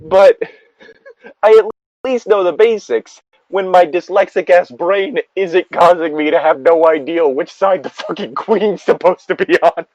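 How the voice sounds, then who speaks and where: A man's voice: high voice, measured pace, a little mellow, no room sound. Man rambling casually, dry dull recording. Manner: rambling, swears freely. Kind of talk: casual chat.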